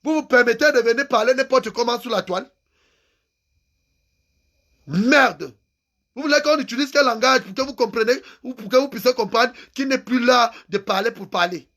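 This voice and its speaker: Male activist, unusually high-pitched, angry and insistent. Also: moderate pace, fairly bright tone. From Cameroon. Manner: angry, insulting, repeats phrases for emphasis. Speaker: activist vlogger. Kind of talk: impassioned political rant.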